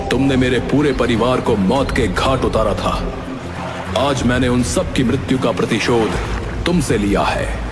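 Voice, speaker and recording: A cunning monkey from a moral cartoon story, acted by a man, sits at a middling pitch. He speaks in measured, formal phrases, persuasive and set on revenge, with clear music underneath. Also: lightly acted. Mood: bitter, vengeful. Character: cunning vengeful male monkey, smooth-talking and deferential to kings. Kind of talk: scripted cartoon story dialogue in formal Hindi.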